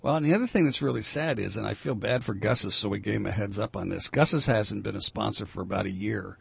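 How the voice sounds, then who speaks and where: An older man with a growly, gravelly voice hosting a casual talk radio show, rambling with many fillers and restarts. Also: fairly low pitch, quick pace. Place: radio studio. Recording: dull lo-fi radio broadcast.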